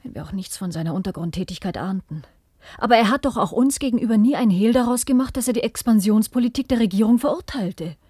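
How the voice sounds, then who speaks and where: A woman's voice: fairly high pitch, animated, fast talker, dark tone, breathy edge, no echo, clean studio sound. An actress playing an anguished young daughter in a radio play, intense and pained as she pleads. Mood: pleading. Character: anguished young daughter defending her interned father.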